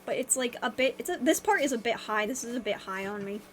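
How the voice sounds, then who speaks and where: High-voiced young woman with a stuffy cold. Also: lively intonation, a little hiss or hum. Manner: rambling, halting, with frequent fillers and restarts. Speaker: vlogger. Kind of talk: rambling casual vlog. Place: her bedroom.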